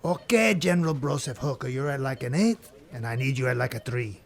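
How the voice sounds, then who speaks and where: A man playing War as a slick, cocky bro in a comedic audio drama, coaching in slangy talk with a gravelly edge. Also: medium pitch, animated, fairly quick.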